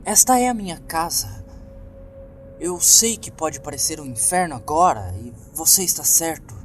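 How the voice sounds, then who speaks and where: A Brazilian man voicing an earnest, troubled young woman who practises witchcraft, explaining herself haltingly in a horror story. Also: fairly high pitch, animated, pitched above the performer's own voice, lightly acted. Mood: confiding, uneasy. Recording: music bed behind the voice.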